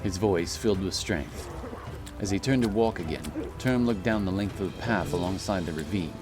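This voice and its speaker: A man's voice: low-pitched, normal speed, over music. A man reading scripted, dramatic narration for a fantasy story.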